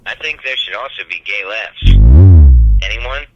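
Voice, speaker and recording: A man giving a comedic press conference speech as a mock candidate, with deadpan delivery. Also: lowish voice, normal speed, over loud music, poor audio.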